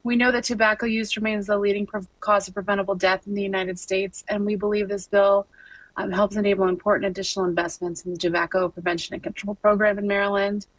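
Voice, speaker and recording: A woman's voice: mid-range voice, little pitch movement, fast, no room sound, rough recording. A woman giving brief, scripted testimony over a video call. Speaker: advocacy director testifying. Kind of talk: remote legislative testimony.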